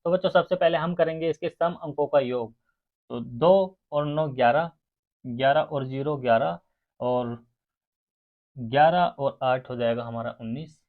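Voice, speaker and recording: Guy teaching class, counts sums out loud, talks right to students, thin phone-line audio. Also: a little high. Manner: addresses students directly, counts sums out aloud. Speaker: maths teacher for exam aspirants. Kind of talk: step-by-step lesson to students. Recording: muffled, phone-like narrow sound.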